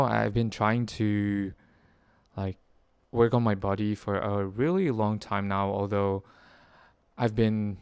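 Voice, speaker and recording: A man's voice: on the low side, darkish tone, clear, resonant tone, no room sound. A man in his twenties from the Philippines, chatting to camera about his life in a rambling way, full of fillers and self-corrections. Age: 20s. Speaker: vlogger. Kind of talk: rambling personal chat to camera.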